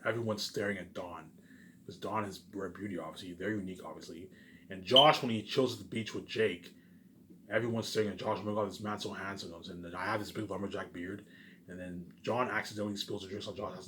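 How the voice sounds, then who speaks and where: A man's voice: on the low side, a little hiss or hum. A man in a rambling casual monologue, boastful and repetitive, with emphatic bursts that trail off. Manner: boastful, self-assured.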